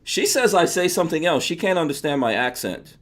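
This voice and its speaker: A man's voice: fairly high pitch, sing-song, fairly quick, a little hiss or hum. A man with a New York accent hosting a livestreamed podcast, chatting casually and laughing often. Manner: laughs often, loose and joking. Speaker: podcast host.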